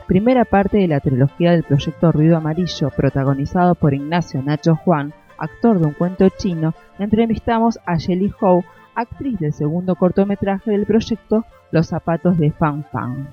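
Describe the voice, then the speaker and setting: A man delivers a scripted radio introduction in a steady announcer voice that sits a little high. The sound is dull and muffled, with faint music under him. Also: normal speed. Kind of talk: scripted news narration.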